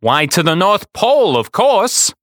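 A man's voice: sits high, very animated, unhurried, fairly bright tone, no echo, studio quality, voice pushed up, heavily acted. A man voicing a commanding, jolly train conductor from a storybook, calling out grandly and theatrically. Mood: hearty, proclaiming.

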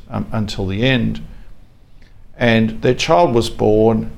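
An Australian man hosting a podcast as a lawyer, explaining informally in a halting, measured way with frequent pauses. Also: on the low side, creaky. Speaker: podcast host, family and fertility lawyer. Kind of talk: informal explanatory monologue with anecdotes.

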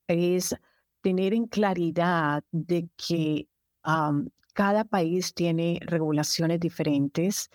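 Low-voiced Colombian woman in her fifties. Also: gravelly, a little mellow, no room sound, studio quality. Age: 50s. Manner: measured, thoughtful, with frequent hesitations. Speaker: guest, immigration agency director. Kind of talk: interview answers.

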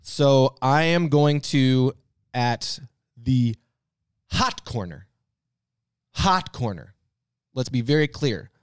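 A man's voice: medium pitch, animated, smooth, no echo. Dry-miked man in emphatic casual banter. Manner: emphatic, drawn-out stresses. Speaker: podcast host. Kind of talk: casual joking draft banter. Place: podcast recording space.

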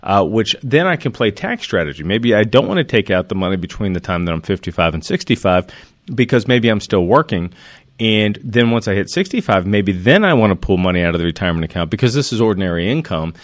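Guy explaining retirement stuff, low-ish voice, earnest, lots of restarts. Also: lively intonation, brisk, no echo, clean studio sound. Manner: earnest, emphatic, works through examples with many restarts. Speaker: financial commentator. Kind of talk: explanatory talk to camera.

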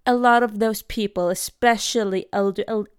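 A woman's voice: medium pitch, fairly even intonation, normal speed, smooth, no room sound, studio quality. A young woman from Denmark hosting her own episode, talking in an earnest, heartfelt way and searching for her words. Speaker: podcast host. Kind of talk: personal monologue.

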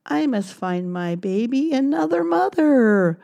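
A woman's voice: high-pitched, big pitch swings, slow pace, vocal fry, dark tone, pitched above the performer's own voice, heavily acted. A woman doing a fretful mother mouse. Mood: fretting. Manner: fretting, sing-song. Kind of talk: storybook reading.

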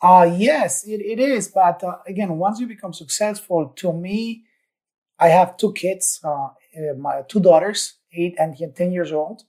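A man's voice: high voice, measured pace, dark tone. A man from Ecuador, a podcast guest, telling his story in an upbeat, enthusiastic way. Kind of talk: casual interview chat.

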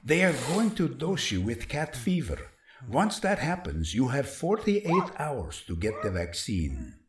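A captive doctor in an audio drama. He is grave and earnest, speaking slowly with very wide swings of pitch, in an echoing room. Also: big pitch swings, slight background noise. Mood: urgent warning. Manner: deliberate, grave, emphatic. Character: captive male doctor, earnest, urgent, pleading. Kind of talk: scripted audio drama acting. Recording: roomy echo.

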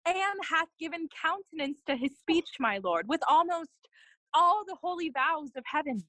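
A woman playing a dutiful, earnest young noblewoman in a classical Shakespeare audio play, speaking formal verse in measured phrases. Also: very high-pitched, expressive, bright, forceful tone, no echo, full character voice, rough recording. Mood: meek, earnest.

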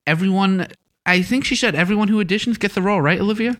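A man's voice: sits high, fast, fairly bright tone. A man co-hosting a school podcast, joking and bragging playfully. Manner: playful, boastful joker. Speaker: student podcast co-host. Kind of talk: casual chat.

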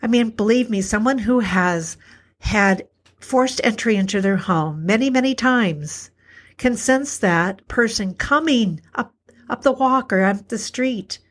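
Slightly hoarse woman, earnest and slow. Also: fairly high pitch. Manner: earnest, repetitive, slows for emphasis. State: a little hoarse. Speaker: podcast host, alternative health practitioner. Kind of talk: reflective solo talk.

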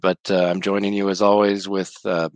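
A man with a slight cold hosting a religious news roundup, speaking in a measured, earnest way. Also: low voice, level tone, dry recording.

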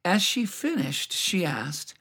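A man hosting a devotional program, reading a scripted message in an earnest, reflective, unhurried way. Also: high voice, sing-song, gravelly, bright, forceful tone, breathy edge.

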